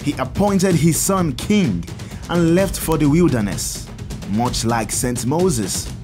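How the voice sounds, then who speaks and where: An actor playing a kindly priest in an audio drama, telling saints' stories in a measured, expressive, instructive way. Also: male voice, on the high side, music in the background. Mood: earnest, explanatory. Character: kindly priest, Father Tad, teaching children about saints.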